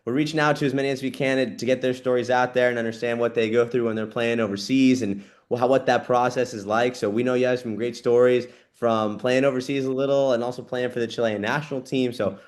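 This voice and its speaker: A man's voice: mid-range voice, fairly even intonation, quick pace, few pauses. Earnest male podcast co-host. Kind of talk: casual podcast interview.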